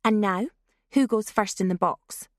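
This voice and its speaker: A woman's voice: medium pitch, a little slow, no room sound, clean studio sound, natural voice. A woman voicing a bossy, theatrical teenage girl who leads a prank. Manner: commanding, theatrical.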